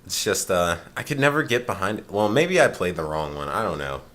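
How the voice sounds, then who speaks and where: A man's voice: sits low, big pitch swings, fairly quick, fairly bright tone. A man giving casual, chatty commentary as he guides a friend through a game, with game music behind. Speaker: let's play co-commentator guiding the game.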